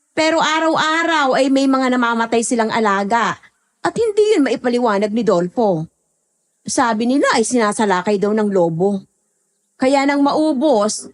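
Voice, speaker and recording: A woman playing a feisty, chatty country goat herder in an audio horror drama, speaking expressively. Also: high-pitched.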